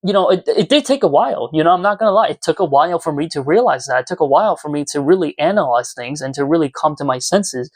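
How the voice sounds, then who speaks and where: Young guy around 20, kinda higher voice, casual heartfelt vlog talk, really dry room sound no echo. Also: lively intonation, quick pace, few pauses. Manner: earnest, self-reflective. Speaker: YouTuber. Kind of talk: confessional casual vlog, talking to viewers. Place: small room at home, indoors.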